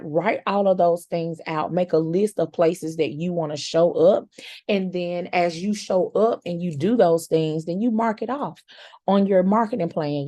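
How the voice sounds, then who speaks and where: Raspy woman, chatty coach, low-ish, mellow, dry close sound. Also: dry recording. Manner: chatty, encouraging, repeats words for emphasis; informal slang. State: slightly hoarse. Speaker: content coach. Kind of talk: casual coaching talk.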